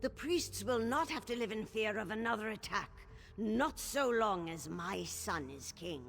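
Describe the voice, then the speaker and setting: Stately orc matriarch, game drama: gravelly edge, measured authority. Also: female voice, mid-range voice, expressive. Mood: relieved, resolute. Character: stately older orc matriarch, proud mother of a king. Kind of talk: dramatic video game voice acting. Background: game music.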